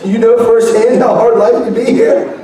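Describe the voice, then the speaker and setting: Jokey man hosting a kids church thing, high mellow voice, far off and super echoey. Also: steady tone, moderate pace. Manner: playful, jokey, bantering. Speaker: children's church program leader. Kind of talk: comic skit hosting for kids. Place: large church hall. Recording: echoey hall, picked up from afar.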